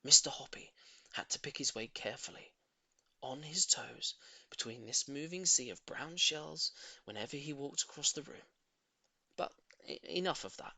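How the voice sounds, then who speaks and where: A man reading a children's story in an expressive, theatrical narrating style. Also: medium pitch, moderate pace, no room sound. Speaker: audiobook reader.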